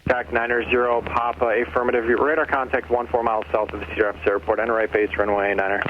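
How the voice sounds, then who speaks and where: A man's voice: medium pitch, little pitch movement, fast. A man giving clipped, procedural traffic calls over aviation radio. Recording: dull, noisy aircraft radio transmission.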